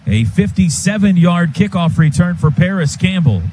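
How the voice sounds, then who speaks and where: A man's voice: high voice, expressive, moderate pace, warm tone, low-quality recording. An American man giving live football play-by-play on the radio in short, clipped phrases. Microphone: broadcast booth microphone. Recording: live stadium broadcast, faint ambient noise.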